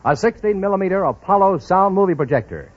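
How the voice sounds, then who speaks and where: Lively higher-pitched vintage radio announcer man. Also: fast talker. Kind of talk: 1940s-50s radio announcing, scripted. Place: broadcast studio. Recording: dull old radio recording.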